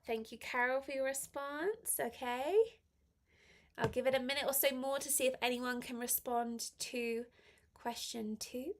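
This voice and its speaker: High-voiced woman teacher with a cold. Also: fairly even intonation, a little slow. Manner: encouraging, praising learners. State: slight head cold affecting the voice. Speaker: English teacher hosting a live class. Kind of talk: live online language lesson, reading exercises aloud and chatting to learners.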